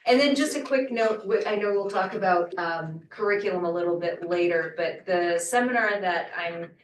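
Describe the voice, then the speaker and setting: A woman's voice: lowish voice, moderate pace. A woman from Portugal, a French professor, introducing herself at an info session in a chatty, hesitant way with fillers. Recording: echoey room, distant mic.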